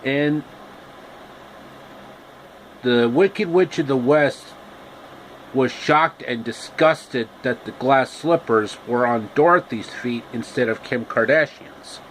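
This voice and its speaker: A man narrating a comic story casually, with halting, deliberate, improvised phrasing. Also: mid-range voice, big pitch swings, slight background noise, poor audio.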